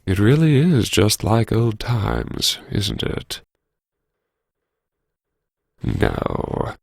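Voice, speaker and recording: Man playing a slow, gravelly, brooding academic. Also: lowish voice, wildly swooping pitch, a little mellow, no room sound, studio quality, heavily acted. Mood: wry, musing. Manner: brooding, wry, halting self-address with sighs. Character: wry, haunted male university vice-chancellor musing to himself. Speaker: audiobook performer. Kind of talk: dramatic first-person interior monologue.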